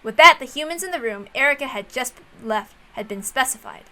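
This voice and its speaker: A woman reading a visual novel aloud as narrator, expressive and informal in style. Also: high voice, a little fast.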